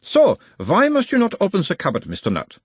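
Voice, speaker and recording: High-pitched, probing analyst. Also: male voice, huge pitch swings, a little fast, slightly rough, dry recording, heavily acted. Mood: insistent. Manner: clinical, formal, insistent questioning. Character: insistent, probing male analyst persona of a hypnotised creature.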